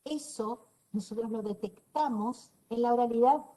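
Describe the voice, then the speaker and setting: A woman's voice: on the high side, moderate pace, warm tone. A middle-aged woman from northeastern Argentina with a northeastern Argentine accent, giving an online class lecture over a video call. She explains step by step, keeps checking in with her listeners and drops in dry, teasing asides. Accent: northeastern Argentine Spanish. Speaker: university lecturer.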